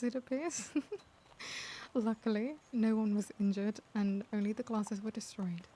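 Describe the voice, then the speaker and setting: Woman playing a mellow, genteel vampire lady. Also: on the high side, a little hiss or hum, lightly acted. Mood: lightly amused. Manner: genteel, formal, unhurried, softly doting. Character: caring, refined vampire lady of society. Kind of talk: ASMR roleplay, scripted character acting.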